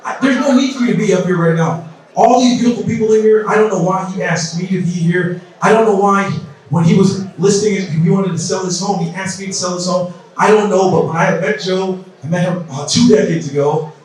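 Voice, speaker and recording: High-voiced man hyping an echoey crowd. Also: a little fast, a little mellow. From South Sudan. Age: 30s. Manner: loose, repetitive crowd-rousing talk. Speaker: event emcee. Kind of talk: casual speech at a campaign event. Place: large indoor event hall. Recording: echoey hall, mic from far off.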